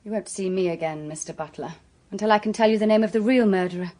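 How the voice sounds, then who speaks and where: An actress playing a pushy, self-assured busybody in a radio drama, speaking in an insistent, superior manner. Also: female voice, mid-range voice, fast, warm tone, natural voice. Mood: smug, piqued.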